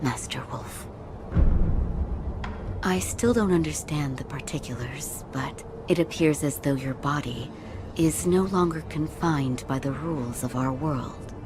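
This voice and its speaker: A woman voicing a composed healer who speaks calmly and politely. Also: low voice, music in the background. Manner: measured, polite, calm.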